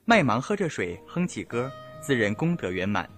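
A man's voice: mid-range voice, sing-song, moderate pace, fairly bright tone. A man reading scripted narration for an audio drama. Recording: music playing behind.

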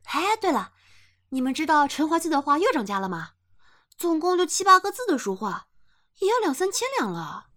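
Woman acting a gossipy young lady of the house, chinese period drama, high voice, very animated. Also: normal speed. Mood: chatty. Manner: sociable, gossipy. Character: chatty, gossipy young married gentlewoman.

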